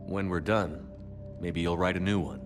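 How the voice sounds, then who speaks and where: Game hero commander, played by a man: low, understated, composed and supportive, music clearly behind. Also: steady tone, moderate pace, natural voice. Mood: composed, resolute. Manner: terse, level, understated. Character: steady male military commander, adult, terse, supportive.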